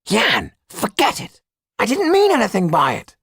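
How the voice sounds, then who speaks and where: A man voicing a blunt, sharp-tongued, knowing conspirator in a storybook, with a high, strained, raspy, creaky voice. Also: huge pitch swings, normal speed, no room sound, clean studio sound, exaggerated voice. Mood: defensive.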